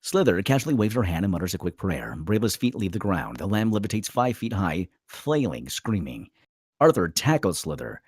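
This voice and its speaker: A man's voice: sits low, big pitch swings, moderate pace, a little mellow, husky, dry recording, clean studio sound. A man reading screenplay stage directions aloud as a dramatic narrator at a table read.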